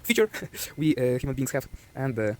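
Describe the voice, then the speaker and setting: A man's voice: medium pitch, big pitch swings, normal speed, a little mellow, slight background noise. A young man with a strong Ukrainian accent giving a loose, earnest motivational monologue in an old vlog, with frequent hesitations. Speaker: vlogger.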